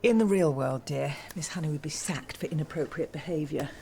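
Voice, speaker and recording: An actress playing a condescending school headmistress who speaks in a dry, patronising, precise way. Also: female voice, sits low, a little fast, vocal fry, mellow. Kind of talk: sitcom acting.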